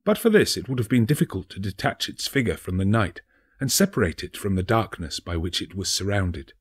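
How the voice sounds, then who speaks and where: A man narrating a classic ghost story as a dramatic audiobook, theatrical and deliberate. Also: deep voice, sing-song, slightly rough. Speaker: narrator, actor.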